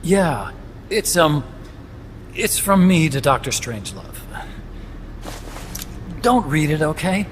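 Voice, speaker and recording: A man's voice: on the high side, sing-song, takes it slowly, pauses often, noisy background. An actor playing an earnest, nerdy male scientist in a video game, eager and a little awkward. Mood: hesitant, sheepish. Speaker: video game supporting character. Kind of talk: dramatic video game acting.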